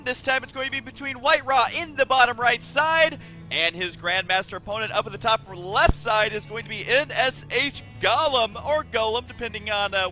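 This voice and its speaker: Guy casting a video game match, high voice gone raspy from just waking up, gets hyped fast, music playing under him. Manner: excitable, quick to exclaim. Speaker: game commentator. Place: his own room at home.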